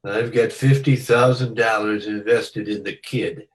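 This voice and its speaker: A man's voice: lowish voice, steady tone, measured pace, close to an everyday voice, rough recording. A man playing a self-assured, menacing casino boss in a radio detective play, terse and commanding. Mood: coolly threatening. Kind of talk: old-time radio detective drama acting.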